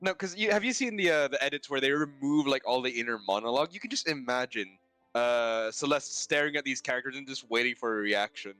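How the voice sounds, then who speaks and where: A man's voice: mid-range voice, quick pace, pushed and bright, clear, resonant tone, no echo, faint music behind. A man chatting casually on a stream, saying dude and like a lot. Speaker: co-host. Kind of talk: casual chat.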